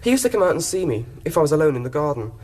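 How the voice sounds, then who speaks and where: Young English man, fairly high voice, polite, mild, trusting, innocent, radio drama. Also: sing-song, rapid-fire, a little mellow. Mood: candid, reminiscing. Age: young adult. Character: trusting, innocent young male college gardener accused of blackmail. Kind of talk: British radio drama acting.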